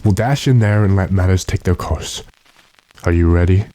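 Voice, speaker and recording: A man plays an authoritative gentleman sleuth in a radio mystery. His low, mellow voice is measured and matter-of-fact. It sounds close and dry, with no room around it. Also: dry recording, lightly acted. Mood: resolute. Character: calm, authoritative gentleman investigator addressed as sir. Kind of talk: classic radio drama acting.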